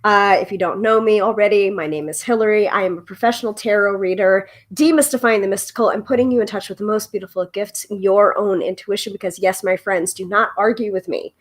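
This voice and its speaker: A woman's voice: medium pitch, a little fast, few pauses. Congested chatty woman on a live stream. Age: 40s. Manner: chatty, laughs often, many ums. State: congested, froggy voice. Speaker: tarot reader hosting a live show. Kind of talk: casual live-stream chat.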